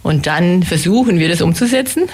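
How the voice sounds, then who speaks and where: A woman who heads a theater's women's makeup department, speaking in a casual interview while slightly hoarse from a cold and explaining her work in a matter-of-fact way. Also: fairly low pitch, animated, fairly quick, darkish tone.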